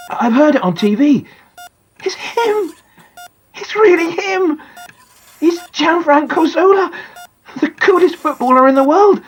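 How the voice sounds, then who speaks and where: A woman voicing an excitable young boy who lies in a coma, confiding his thoughts emphatically in a dramatic audiobook narration. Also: high voice, slightly rough, voice pushed up, low-quality recording. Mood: thrilled.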